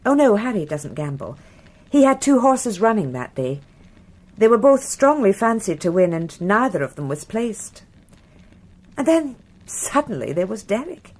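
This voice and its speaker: A British married woman in a radio crime drama, frank and wistful about her past. Her voice is breathy and soft-edged, with a lively rise and fall. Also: medium pitch, normal speed. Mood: wistful, reminiscing.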